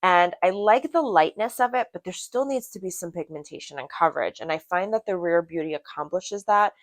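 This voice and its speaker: A woman's voice: fairly low pitch, brisk, dry recording. A woman from Brazil giving a casual product review to camera in a chatty, emphatic way, repeating words for emphasis. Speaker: beauty product reviewer and vlogger.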